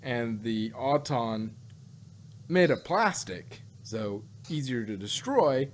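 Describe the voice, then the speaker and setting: A man chatting casually about stories in a rambling, halting way, often repeating words as he works out a thought. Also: medium pitch, lively intonation. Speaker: podcast host reviewing audio dramas. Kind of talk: casual chat, fan speculation. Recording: noticeable background noise.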